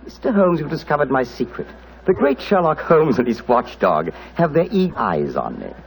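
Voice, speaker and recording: An actor playing a suave, scheming villain in an old-time radio drama, sardonic, taunting and theatrical. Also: male voice, fairly high pitch, big pitch swings, moderate pace, slight background noise, rough recording. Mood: mocking, menacing. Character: suave, mocking male villain, scheming heir.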